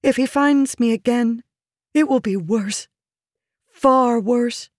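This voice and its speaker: Woman narrator doing a high pleading noblewoman for an audiobook, scared but scheming, very intense. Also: takes it slowly, a little mellow, dry recording, clean studio sound. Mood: frightened pleading. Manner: pleading, weak and feminine, intense. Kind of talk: audiobook character dialogue.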